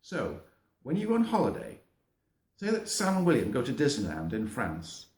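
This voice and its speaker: A man's voice: mid-range voice, huge pitch swings, moderate pace. A middle-aged man, patiently explaining a lesson to young children while he marks up a map. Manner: patient, gentle, narrates while drawing on a map.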